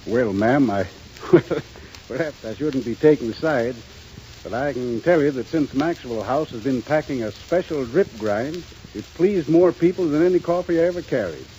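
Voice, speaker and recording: An actor playing a courteous, folksy grocer in a 1930s radio commercial. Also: male voice, medium pitch, animated, normal speed, warm tone, close to an everyday voice, poor audio. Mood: genial, deferential. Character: courteous, folksy male small-town grocer. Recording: faintly hissy 1930s radio recording.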